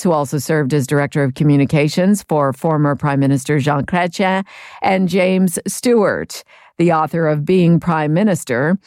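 A woman host presenting a radio talk show, introducing guests and callers in a measured, announcing manner. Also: low-pitched, darkish tone, no room sound, studio quality.

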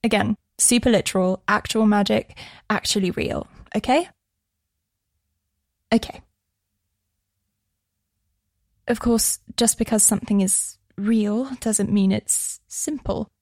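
An actress playing a wry, chatty shop worker who confides in the listener in an audio fantasy drama. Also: female voice, medium pitch, fairly even intonation, measured pace, darkish tone, dry recording, studio quality, natural voice. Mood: playful, enthusiastic. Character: wry, chatty young woman working in a magic shop. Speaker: narrating lead character of an audio fiction series. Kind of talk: intimate conversational first-person dramatic narration.

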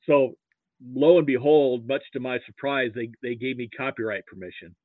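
Male American podcast guest, mid-pitched, anecdotal and halting, heard over a thin phone-quality line. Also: no room sound. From Nebraska, US. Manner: halting, repeats words as he starts sentences. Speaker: podcast guest, sports archivist. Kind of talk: casual anecdotal interview talk. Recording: muffled, phone-like narrow-band line.

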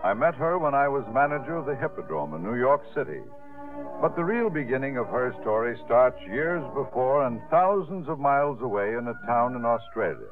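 Male actor as reminiscing narrator, mid-pitched, animated, vintage radio with clear music bed. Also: normal speed, natural voice. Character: storytelling former theatre manager, reminiscing warmly. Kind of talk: scripted 1950s radio drama narration. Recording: muffled 1950s radio broadcast over music.

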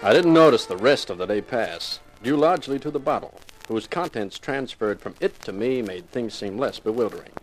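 An actor playing a wry, hard-drinking private detective in a 1950s radio crime drama, with sardonic delivery. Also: male voice, medium pitch, lively intonation, normal speed, hardly any pauses, faint music behind, lightly acted, rough recording. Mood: wry, brooding. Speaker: lead actor and narrator.

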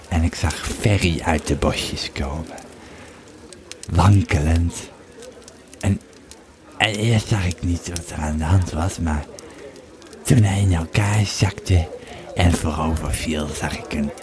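A man performs an aged Roman soldier in an audio drama, deep in pitch and unhurried. He stammers and drifts off, then picks up his uneasy tale again. Busy chatter and birdsong sit behind him. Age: old man as played. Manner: halting, stammering, losing his thread. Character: old, drunken Roman legionary recounting a frightening tale. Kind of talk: first-person audio drama storytelling.

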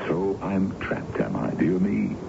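A man's voice: deep voice, sing-song, slow, creaky, exaggerated voice. An actor playing an ardent, world-weary older man wooing his love in a theatrical radio drama style. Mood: teasing, tender. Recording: muffled, noisy old radio broadcast.

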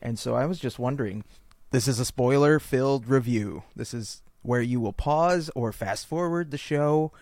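An easygoing man co-hosting a podcast, chatting casually and laughing often. His pitch is ordinary and the sound is dry and close to the mic. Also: moderate pace, darkish tone, no room sound. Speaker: podcast co-host. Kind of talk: casual chat.

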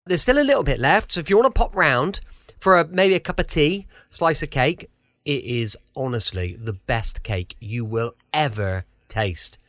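A man's voice: mid-range voice, expressive, normal speed, fairly bright tone, no room sound, clean studio sound. An English man hosting a podcast with a chatty, rambling and effusive introduction. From United Kingdom. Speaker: podcast host.

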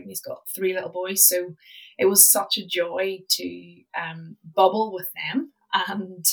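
A Northern Irish woman talks casually as a podcast guest, often pausing on "um" and restarting her sentences. Her voice sits fairly low with a gravelly edge. She sounds somewhat far from the microphone. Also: a bit bright. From Northern Ireland. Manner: chatty, frequent ums and restarts. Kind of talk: casual interview chat.

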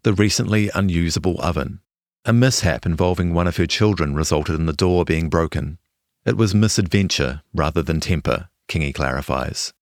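A man's voice: low voice, moderate pace, no room sound, clean studio sound. A man with a New Zealand accent reading a scripted longform feature as a journalist. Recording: music behind the voice.

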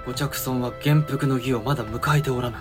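Male actor as a stern shogunate regent, medium pitch, formal, firm, period drama, music clearly behind. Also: fairly even intonation, natural voice. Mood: grave. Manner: formal, firm, measured authority. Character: sober, authoritative samurai regent of the shogunate. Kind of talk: voice drama acting in period Japanese.

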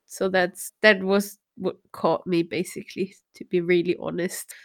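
A woman's voice: lowish voice, little pitch movement, a little slow. A woman in her thirties with a slightly nasal voice, co-hosting a podcast in a playful, chatty, casual way. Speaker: podcast co-host.

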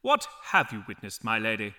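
A man's voice: on the low side, wildly swooping pitch, fairly quick, bright, forceful tone, exaggerated voice. A man playing an imperious, exasperated judge in a fantasy audio drama, pompous and commanding. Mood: stern, formal.

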